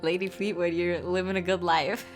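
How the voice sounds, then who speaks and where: Low-pitched woman giving casual game commentary. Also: level tone, normal speed, faint music behind. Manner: informal, slangy, amused asides between readings. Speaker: gamer reacting to game cut scenes.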